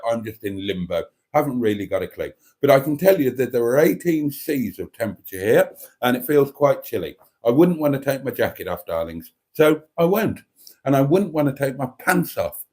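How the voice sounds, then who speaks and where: A man's voice: mid-range voice, a little mellow. A man hosting a casual livestream, reading out viewer comments in a chatty, cheeky way and calling his audience darlings. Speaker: livestream host. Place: indoors at home, chilly room.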